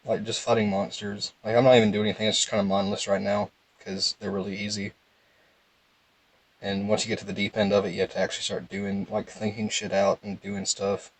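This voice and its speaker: A young man with a calm, low voice, giving casual, rambling game commentary in a laid-back monotone. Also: a little fast, slightly rough, poor audio. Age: young adult. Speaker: gaming video host.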